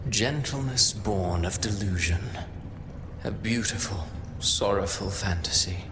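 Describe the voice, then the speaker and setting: An actor playing a brooding, ominous villain in a video game, reciting poetic monologues in a grandiose, deliberate way. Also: male voice, fairly low pitch, noisy background. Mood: solemn, mocking.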